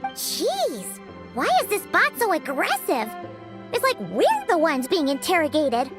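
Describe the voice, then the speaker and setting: Game companion: tiny, blunt, curious, squeaky and extremely high-pitched. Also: female voice, wildly swooping pitch, a little slow, full character voice. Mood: indignant. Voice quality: squeaky, childlike. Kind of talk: video game voice acting. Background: loud game music.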